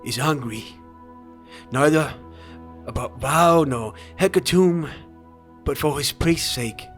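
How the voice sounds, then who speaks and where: A man's voice: a little high, slow pace, a bit bright, pitched above the performer's own voice. A man voicing a solemn, bold seer in a dramatized epic audiobook, declaiming grave pronouncements over music. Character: solemn, bold male seer speaking for a god.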